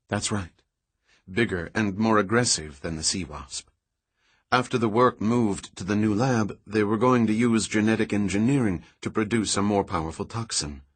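A man's voice: on the low side, moderate pace, slightly rough. A man reading as a guarded, tired woman scientist. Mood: measured. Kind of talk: audiobook dialogue reading.

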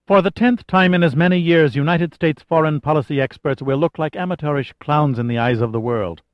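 A man's voice: medium pitch, expressive, moderate pace, no echo, lo-fi sound, close to an everyday voice. A man voicing a proud, principled Egyptian woman official in an audiobook novel, blunt, formal and emphatic. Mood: defiant, indignant.

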